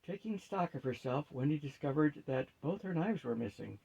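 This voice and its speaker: A man's voice: fairly high pitch, expressive, normal speed, creaky, mellow, breathy edge, poor audio. A man reading a fantasy story aloud as scripted narration.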